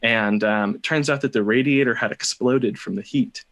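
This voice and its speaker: A young American man telling a personal story casually as a podcast guest, in a hesitant, halting way. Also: mid-range voice, fairly bright tone. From United States, California.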